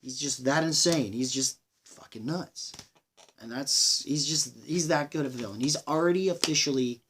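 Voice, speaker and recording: A man's voice: fairly high pitch, a bit bright, slight background noise. Rambling, enthusiastic man reviewing casually. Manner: rambling, halting, sweary, enthusiastic. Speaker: film reviewer, channel host. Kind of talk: casual movie review monologue.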